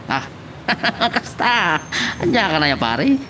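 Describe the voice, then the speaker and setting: A man's voice: sits high, big pitch swings, slow, pushed and bright, a little hiss or hum, full character voice. A man playing a cocky, chummy friend who coaches his pal in an Ilocano audio drama. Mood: instructing. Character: cocky, laughing buddy teaching a friend to shoot.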